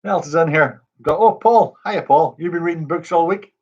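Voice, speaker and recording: A man's voice: a little high, a little fast, husky. A man hosting a pub quiz livestream, chatting and greeting viewers by name. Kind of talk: casual livestream chat. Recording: dull lo-fi stream audio.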